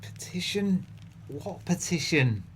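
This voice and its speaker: A man acting in an audio play as a crooked swindler, creaky-voiced, florid and melodramatic. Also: fairly high pitch, animated, slow pace, fairly bright tone, exaggerated voice. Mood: guarded. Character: crooked male swindler, mournfully grandiose and evasive.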